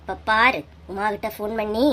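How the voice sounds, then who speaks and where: A woman's voice: medium pitch, steady tone, moderate pace, pushed and bright, a little hiss or hum, lightly acted. Sly village gossip with a Kanyakumari Tamil accent, acted by a woman. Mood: spiteful. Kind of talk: comic cartoon voice acting.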